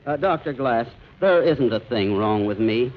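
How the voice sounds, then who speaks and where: An actor playing a meek, hesitant, hungry playwright who feigns illness in a 1940s radio comedy. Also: male voice, mid-range voice, expressive, a little slow, close to an everyday voice. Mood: meek, confiding. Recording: muffled, hissy 1940s radio.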